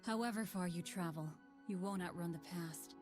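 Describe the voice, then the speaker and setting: An actress playing a sorrowful, remorseful warrior woman in a card game, speaking gravely and with regret. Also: on the low side, moderate pace, a little mellow, faint music behind, lightly acted. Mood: regretful.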